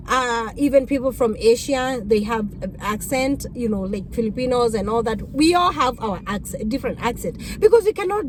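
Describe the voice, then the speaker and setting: A woman with a Kenyan accent, whose first language is Kikuyu, telling stories about being a rideshare driver in an animated, exclamatory way. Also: sits high, slight background noise, low-quality recording. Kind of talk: casual storytelling vlog.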